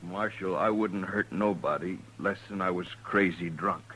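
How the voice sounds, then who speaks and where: An actor playing a rough, hard-drinking bone gatherer in a radio western, with a folksy, plain-spoken rural American way of talking. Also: male voice, sits low, moderate pace, fairly bright tone, a little hiss or hum, poor audio. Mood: contrite. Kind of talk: 1950s radio western acting.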